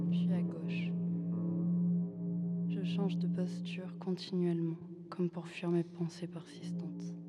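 Young woman for introspective prose-poem reading, deliberate phrasing, flat intonation, heard in a long reverb over music. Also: on the low side. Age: young adult. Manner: measured, deliberate, pausing between short phrases. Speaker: student reading co-written literary texts. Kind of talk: slow literary reading of introspective prose poetry.

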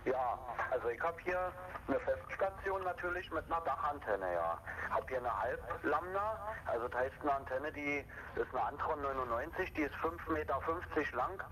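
A man's voice: a little high, a bit bright, a little hiss or hum, low-quality recording. A man casually explaining technical matters as a CB radio operator, heard over a radio transmission.

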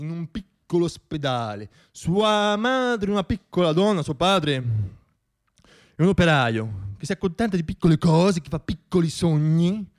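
A man in his thirties performing a comic theatrical monologue, shifting between hushed asides and declamation. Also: on the high side. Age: 30s. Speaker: stage monologist.

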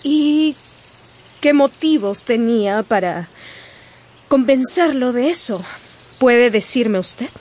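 An actress playing a spirited, guarded young gentlewoman in a radio drama, polite but pointed and direct. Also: a little high, lively intonation, slow, long pauses. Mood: probing, troubled.

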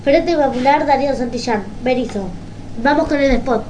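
A woman with an Argentine accent hosting informal community radio, reading announcements haltingly and correcting herself. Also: sits high, noisy background.

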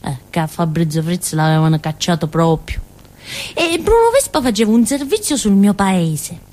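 A woman's voice: lowish voice, big pitch swings, moderate pace, warm tone. An actress playing a naive, stubborn village simpleton girl in a theatrical monologue, with a Calabrian southern Italian accent and a childlike, colloquial, rambling way of talking. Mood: animated, wondering. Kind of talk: theatrical monologue, radio drama storytelling.

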